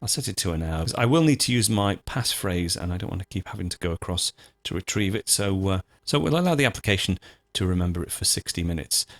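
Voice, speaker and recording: A man with a fairly low voice narrates a screencast tutorial. His intonation moves up and down a lot as he explains each step methodically and informally. The sound is dry and close to the microphone. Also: animated, fast talker, no echo. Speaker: host of a software tutorial show.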